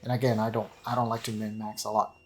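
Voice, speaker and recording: A man's voice: on the low side, completely flat delivery, fast. A man giving casual, unscripted game commentary in a rambling, hesitant way, often repeating and correcting himself. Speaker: gaming channel host. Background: background music.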